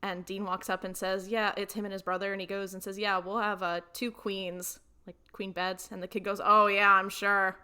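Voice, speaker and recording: A woman's voice: medium pitch, level tone, normal speed, few pauses, bright, forceful tone, clean tone. A woman on a podcast panel, talking casually and conversationally as a fan among fans.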